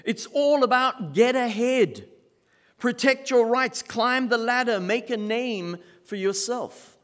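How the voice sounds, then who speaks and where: A man from South Africa with a South African accent, preaching a sermon as pastor in measured, emphatic phrases with dramatic pauses. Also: extremely high pitch, animated, a bit bright. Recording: long reverb of a large hall.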